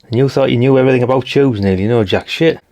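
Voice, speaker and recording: A man's voice: medium pitch, rapid-fire, darkish tone. A British man talking casually and thinking aloud as he gives a hands-on teardown commentary, rambling and informal. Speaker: hobbyist radio channel host.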